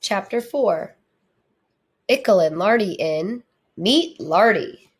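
A woman reading a storybook aloud for children in a deliberate, expressive manner. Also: medium pitch. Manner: deliberate, animated delivery. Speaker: storybook reader, teacher.

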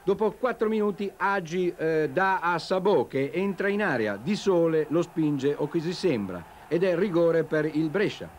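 A man's voice: fairly high pitch, expressive, a little slow, hardly any pauses. A man narrating a scripted football match report as a television sports reporter. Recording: TV broadcast with faint stadium noise.

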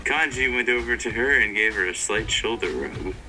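A man's voice: on the low side, expressive, normal speed, pushed and bright, poor audio. A young adult man co-reading fan fiction in a joking dramatic style. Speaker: co-reader.

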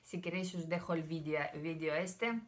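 A woman's voice: low voice, steady tone, moderate pace. An older woman from Ukraine, a native Russian speaker with a Russian accent, talking casually and hesitantly to camera from a room at home. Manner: chatty, hesitant, gestures with her hands. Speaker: vlogger.

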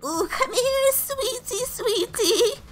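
High, sugary old fairy godmother. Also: expressive, full character voice. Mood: sweet, coaxing. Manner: sugary, drawn-out, theatrical delivery. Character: sweet, sly fairy godmother, mysterious old woman. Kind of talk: roleplay story voice acting.

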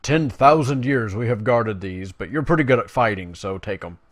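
A man's voice: medium pitch, lively intonation, normal speed. A man hosting a gaming video, casually commentating and reading quest text aloud in a playful way. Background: game music.

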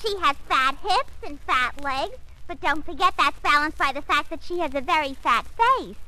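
Shrill, brash boss's daughter. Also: female voice, lively intonation, normal speed, pushed and bright, slight background noise. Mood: indignant. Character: brash, defensive daughter of the tavern boss. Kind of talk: 1940s radio comedy acting.

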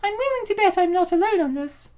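Casting: actress as very high-voiced young cartoon woman, anxious, eager, over-earnest. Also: expressive, quick pace, a little mellow. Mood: eager, coy. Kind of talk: comic dub acting.

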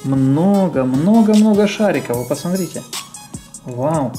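A woman's voice: low-pitched, animated, unhurried, dark tone. A young woman chatting playfully to young viewers, exclaiming and repeating words for emphasis. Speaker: mother presenting a children's toy video. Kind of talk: playful chat to young viewers during toy play. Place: indoors in a room at home. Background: music.

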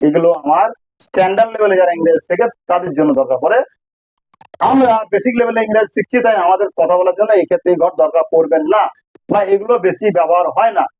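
A man's voice: sits high, fast, a little mellow, dry recording, low-quality recording. A man with a Bengali accent teaching a grammar lesson informally, explaining step by step and repeating phrases for emphasis.

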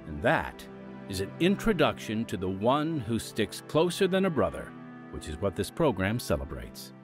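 A man announcing and hosting a scripted radio drama programme in a dramatic, measured manner. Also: fairly low pitch, huge pitch swings. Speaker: announcer and host. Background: music.

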